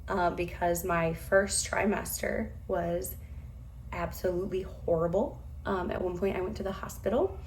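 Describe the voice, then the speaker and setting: A woman in her thirties talks casually to a camera set up a few steps away in her home. Her mid-range voice is a little hoarse as she recovers from losing it, and she speaks in a chatty, self-deprecating way, often laughing at herself. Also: medium pitch. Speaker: vlogger.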